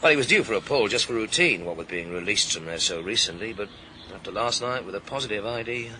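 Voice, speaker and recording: Low-voiced, world-weary police inspector. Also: male voice, expressive, fast, bright, forceful tone, a little hiss or hum. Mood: thoughtful. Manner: laconic, dry, blokey British slang. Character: male British police inspector, world-weary, wry, brisk. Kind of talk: naturalistic crime drama acting.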